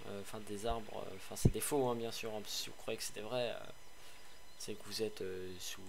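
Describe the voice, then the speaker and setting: A man hosting a casual game review, slightly hoarse, rambling with fillers and restarts, tossing in sarcastic asides. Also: lowish voice, steady tone, a little fast, noisy background. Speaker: gaming video host.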